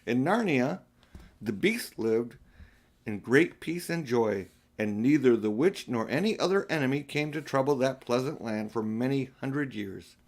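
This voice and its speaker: An older man narrating a children's storybook as an audiobook reader, occasionally stumbling and restarting a phrase. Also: mid-range voice. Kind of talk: storybook narration read aloud, with small slips and restarts.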